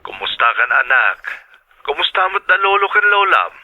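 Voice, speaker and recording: A man playing a loving, reassuring middle-aged father in a radio drama, gently advising through a telephone filter with an Ilocano accent. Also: on the high side, big pitch swings, moderate pace, no echo. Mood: affectionate. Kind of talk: Filipino radio drama acting. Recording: thin phone-call sound.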